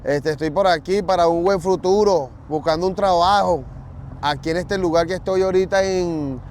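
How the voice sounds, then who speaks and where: Man, Venezuelan Spanish accent, relaxed, fairly high voice, outdoor traffic noise. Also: moderate pace. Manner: relaxed, matter-of-fact, speaks of God's will. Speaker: interviewee, job seeker. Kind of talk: street interview answers. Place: outdoors at a store parking lot.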